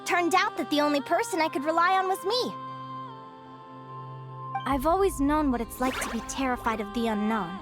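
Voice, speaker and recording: A woman voicing a plucky, thoughtful young female adventurer in a video game, earnest and expressive. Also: sits high, normal speed, long pauses, lightly acted. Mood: reflective, resolute. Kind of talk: video game voice acting, dialogue. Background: faint game music.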